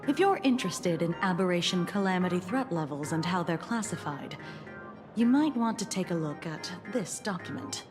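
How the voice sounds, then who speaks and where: A composed female investigator from a video game , acted by a woman, with a fairly low voice. She speaks formally and with measured authority, as if delivering an official briefing. Music plays clearly behind her. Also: animated, natural voice. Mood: official, grave. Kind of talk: scripted video game dialogue.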